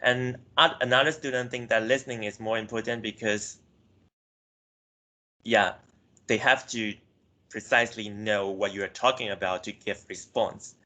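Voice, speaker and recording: Young man, from Myanmar, low-pitched voice, student presentation, hesitant, lots of restarts and fillers. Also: fairly even intonation, normal speed, pauses often, bright, forceful tone, poor audio. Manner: hesitant, frequent "like" and self-corrections. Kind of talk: academic class presentation.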